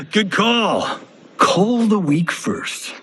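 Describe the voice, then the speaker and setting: A vain American action star from a video game cutscene. He wisecracks and boasts in a flippant way, with widely swinging pitch and an unhurried pace. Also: animated, sharp tone, slight background noise, heavily acted. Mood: mocking. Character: cocky vain action movie star, grown man.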